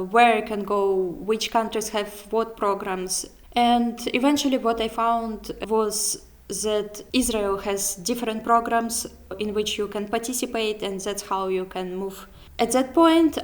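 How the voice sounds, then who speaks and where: Young woman vlogger, mid pitch, just chatting casually and correcting herself. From Kazakhstan. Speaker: vlogger, software developer. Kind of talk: casual chat to viewers.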